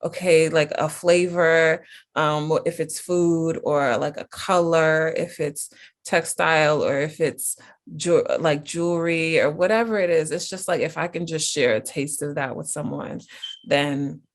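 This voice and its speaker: A woman's voice: low-pitched, steady tone, measured pace. An American woman hosting a casual interview, rambling questions filled with "like" and "um". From United States. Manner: rambling, heavy use of "like". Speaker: interviewer host.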